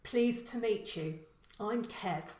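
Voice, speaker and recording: A woman's voice: mid-range voice, slow pace, darkish tone, echoey room, lightly acted. A woman voicing a mild, weary, good-natured middle-aged man in a story, plain-spoken. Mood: friendly.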